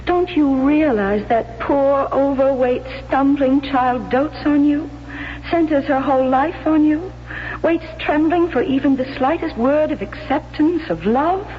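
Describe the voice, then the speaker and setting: An actress playing a frank, weary wife and mother, speaking with earnest emphasis in a 1970s radio drama. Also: high-pitched. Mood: reproachful, regretful. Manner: measured, emphatic, earnest reproach. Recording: muffled old radio broadcast, faint noise.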